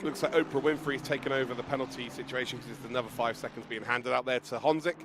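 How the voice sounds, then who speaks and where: A man commentating a live sim race with a croaky, strained voice, excitable and joking. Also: medium pitch, fast, background noise. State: hoarse, losing his voice.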